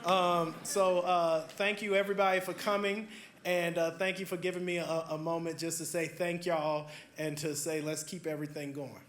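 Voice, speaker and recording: A man's voice: a little high, normal speed, noisy background. A man in his thirties giving casual welcome remarks at a university event, loose and full of fillers, at a stand mic. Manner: relaxed, filler-heavy, warm thanks. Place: large indoor hall. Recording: roomy hall sound.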